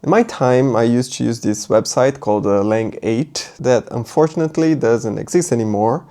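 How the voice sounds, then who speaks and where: A man's voice: mid-range voice, moderate pace, warm tone. A man whose first language is Portuguese, giving a casual tutorial and often checking in with "right?". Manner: thinking aloud, muttering while writing; loose and chatty, asks "right?". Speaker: language teacher.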